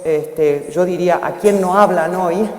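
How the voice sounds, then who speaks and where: A woman's voice: fairly low pitch, a little slow, darkish tone. An older woman speaking on a conference panel, hesitating often and searching for her words. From Argentina. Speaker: panelist, foundation director. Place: conference hall. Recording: echoey hall with long reverb.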